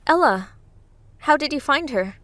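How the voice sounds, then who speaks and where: A woman's voice: sits high, animated, measured pace, a bit bright, no room sound. A woman playing an earnest, sorrowful young woman in a dramatic comic dub. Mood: subdued, earnest.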